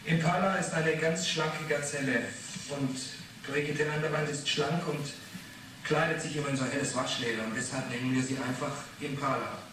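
A man's voice: fairly high pitch, fairly even intonation, moderate pace, natural voice. An actor in a 1980s radio play playing a defensive, irritable man under questioning, evasive and explanatory. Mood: guarded. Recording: very echoey, noisy, distant radio drama.

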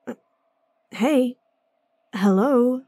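A gruff swordswoman bounty hunter with a gravelly, husky voice, as a woman acts her out. She talks slowly and bluntly in a dark, low-lit tone, sardonic and short on patience. Recorded close and dry for a fantasy audio story. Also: dry recording, full character voice. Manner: blunt, sardonic, sighing often. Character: gruff, impatient female bounty hunter swordswoman, young adult. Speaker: voice actress. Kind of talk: fantasy roleplay audio drama.

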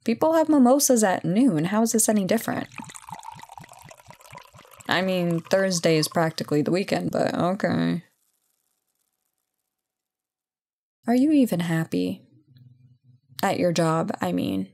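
A woman acts out a female CEO and reformed bully in an intimate audio roleplay. Her voice sits a little low, with plenty of vocal fry and a very slow, pause-filled delivery. She sounds self-assured yet guilt-ridden, conversational and hesitant. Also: darkish tone, heavily acted. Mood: candid, coaxing. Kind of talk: intimate ASMR roleplay acting.

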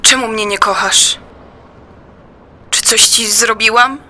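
A woman giving a dramatic poetry recitation in a plaintive, reproachful and deliberate manner. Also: fairly high pitch, fairly even intonation, pushed and bright. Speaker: performer in an audio play. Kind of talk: dramatic recitation of a personal poem.

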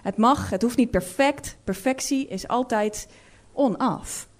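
A woman hosts a cultural salon, presenting live to the room. Her voice is a little higher than average. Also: measured pace.

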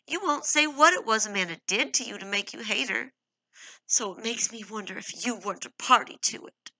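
Storybook: a wounded young wife standing her ground, read by a woman; strained, high, very bright and dry. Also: animated, a little fast, no echo, exaggerated voice, poor audio. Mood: hurt, defiant. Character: hurt, defiant young wife, fighting back tears.

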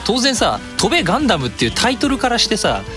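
A man's voice: high voice, wildly swooping pitch, quick pace. A man in his thirties hosting a casual radio chat as a podcaster. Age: 30s. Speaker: podcast host, music creator. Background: music.

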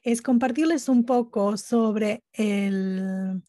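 A woman giving live interpretation at a webinar, speaking haltingly phrase by phrase. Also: medium pitch, dark tone. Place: remote online conference audio channel.

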